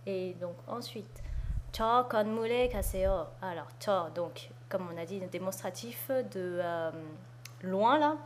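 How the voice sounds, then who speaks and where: A woman's voice: lowish voice, unhurried, darkish tone. A woman co-hosting a Korean lesson, explaining things casually. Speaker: co-host of a Korean language lesson. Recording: clear background noise.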